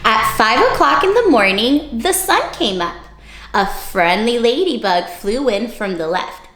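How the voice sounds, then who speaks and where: A woman leads an interactive storytime for young children in a fairly high, swooping voice. She goes slowly and coaxes listeners with simple questions. The room is audibly echoey. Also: big pitch swings, fairly bright tone, soft background music. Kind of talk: interactive picture-book read-aloud for young children.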